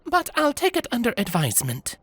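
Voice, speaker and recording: Courteous young woman wanderer, voiced by a male narrator, soft and high, bright timbre, dry close mic. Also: huge pitch swings, brisk, husky, no echo, exaggerated voice. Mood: gentle. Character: polite, quietly mischievous young woman traveler. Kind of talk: storybook character voice.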